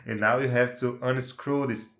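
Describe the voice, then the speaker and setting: A man's voice: medium pitch, fairly even intonation, normal speed, fairly bright tone, slight background noise. Chatty Brazilian man, echoey room. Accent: Brazilian Portuguese. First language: Portuguese. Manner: chatty, checks in with tag questions like "right?" and "yeah?". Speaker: amateur how-to presenter. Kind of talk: casual unedited tutorial.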